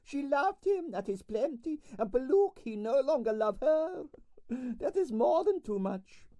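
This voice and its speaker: A man voicing a vain, bossy older Russian ballerina in a storybook, with a Russian accent, shrill falsetto and dramatic broken English. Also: big pitch swings, measured pace, warm tone, no echo, heavily acted. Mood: breezy, dramatic.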